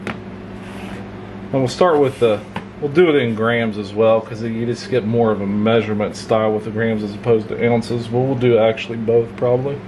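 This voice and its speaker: A man casually reviewing a pocket knife at his home workbench, relaxed and folksy, with plenty of filler words. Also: mid-range voice, normal speed. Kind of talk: casual hands-on product review. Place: home workshop desk, indoors. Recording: clear hum of room noise.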